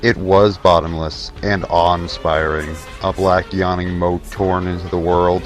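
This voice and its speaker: A man's voice: low voice, level tone, a little slow. A man narrating a scripted fantasy tale as the storyteller of an audio drama. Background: faint music.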